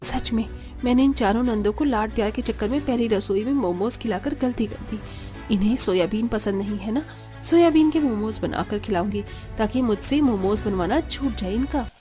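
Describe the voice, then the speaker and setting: Woman as an exasperated, high-voiced young daughter-in-law. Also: normal speed, mellow, faint music behind, lightly acted. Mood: weary, scheming. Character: young married daughter-in-law, patient but exasperated, scolding. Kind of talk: Hindi cartoon story acting.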